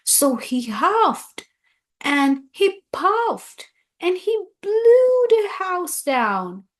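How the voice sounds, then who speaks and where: A woman reading a children's story aloud in a deliberate, expressive storytelling manner. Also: extremely high pitch.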